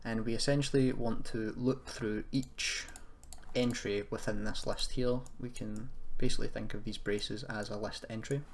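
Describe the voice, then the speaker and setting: A man giving an informal software tutorial, thinking aloud in a hesitant, self-deprecating way as he goes. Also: on the low side, steady tone, moderate pace. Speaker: tutorial presenter. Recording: noisy room with keyboard clicking.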